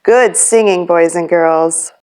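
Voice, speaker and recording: A woman's voice: lowish voice, takes it slowly, a little mellow, clean tone. A woman hosting a children's storytime as a librarian, reading a picture book aloud.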